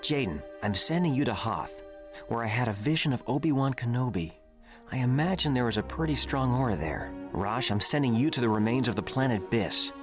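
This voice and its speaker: A man voicing a calm, earnest Jedi master giving formal briefings in a video game. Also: mid-range voice, brisk, music in the background, natural voice. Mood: calm authority.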